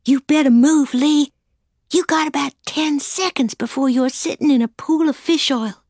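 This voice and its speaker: A man voicing a sharp-tongued female hare spirit companion in an audiobook, giving blunt, bossy warnings. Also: very high-pitched, moderate pace, dry recording, studio quality, pitched above the performer's own voice. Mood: urgent. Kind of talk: audiobook character voice.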